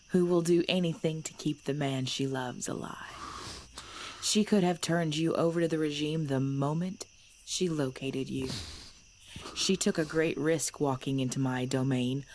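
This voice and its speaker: A woman playing a centuries-old female vampire clan leader in an ASMR audio drama, composed and commanding, speaking in measured, formal phrases. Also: low voice, darkish tone. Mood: earnest, firm. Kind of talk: ASMR audio roleplay acting. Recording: background noise with chirping crickets.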